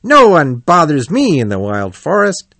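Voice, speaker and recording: Man as a high-pitched, boisterous storybook otter. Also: wildly swooping pitch, a little slow, pushed and bright, no echo, heavily acted. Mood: boastful, cheerful. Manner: swaggering, exclamatory, talkative. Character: bold, boisterous male otter, confident and chatty.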